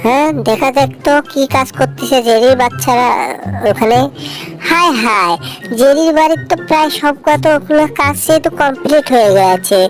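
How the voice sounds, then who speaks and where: A woman's voice: sits high, lively intonation, normal speed, poor audio. A woman voicing a cartoon alone, exclaiming often in a fretful, scolding way. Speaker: fan dubber voicing all cartoon figures. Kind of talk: solo fan dub of a cartoon. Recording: faint music underneath.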